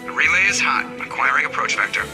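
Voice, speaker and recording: A crisp bridge officer calling a jump. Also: male voice, on the high side, expressive, quick pace, over music, low-quality recording. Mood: procedural. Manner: crisp procedural callouts. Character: matter-of-fact male bridge officer. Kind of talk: video game voice acting.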